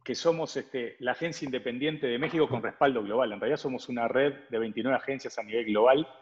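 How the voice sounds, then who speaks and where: A man gives conversational interview answers at a middle pitch. The sound is dull and carries a long reverb. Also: fast. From Uruguay. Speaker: advertising agency partner, interview guest.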